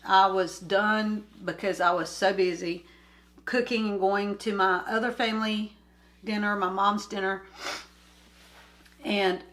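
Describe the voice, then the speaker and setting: Slow-talking nasal grandmother. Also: mid-range voice, fairly bright tone. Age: older. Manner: rambling, chatty, easily sidetracked. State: nasal from a cold. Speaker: crafting vlogger. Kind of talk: casual chat while diamond painting. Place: at home.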